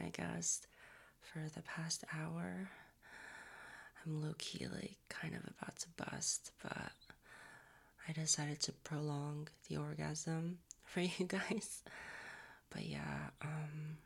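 A young man whispering softly into a close mic, his voice raspy and a little hoarse from a recent cold. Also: on the high side. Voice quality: raspy, strained.

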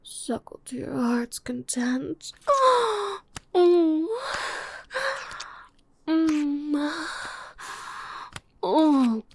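Young woman, high voice, very slow breathy whisper-heavy delivery, close-mic intimate roleplay. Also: animated. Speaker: audio roleplay performer.

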